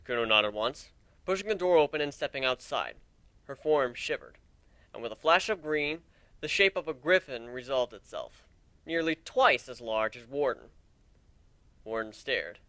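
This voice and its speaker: A man's voice: mid-range voice, animated, moderate pace, a bit bright, dry recording. Dry-sounding man narrating a story. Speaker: narrator of a fan fiction reading. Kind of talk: dramatic audiobook narration.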